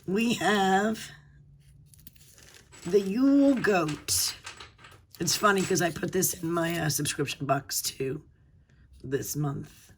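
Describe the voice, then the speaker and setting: A woman with a hoarse voice from a cold, hosting a casual unboxing video and gushing softly over pretty items. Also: fairly low pitch, slow pace, pauses often, a little hiss or hum. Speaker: unboxing channel host.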